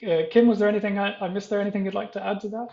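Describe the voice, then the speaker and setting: A man moderating a panel, introducing the guests in a halting, self-correcting and apologetic way. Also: high-pitched, level tone, creaky, darkish tone, echoey room, highs cut off.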